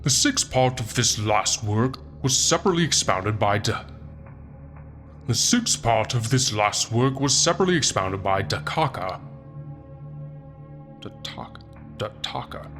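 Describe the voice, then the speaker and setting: A man voicing a sardonic, foul-mouthed Satan who reads an old book aloud in a theatrical, deliberate way with wry asides, as comic narration. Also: medium pitch, fairly bright tone. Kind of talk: comic audiobook-style narration.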